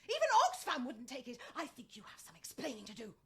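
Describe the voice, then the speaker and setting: A woman playing a proud, sharp-tongued agency boss in a radio comedy, sarcastic, haughty and emphatic. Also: on the high side, big pitch swings, fast talker. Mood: scornful, sarcastic. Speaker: actor in a radio play. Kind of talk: theatrical radio comedy acting.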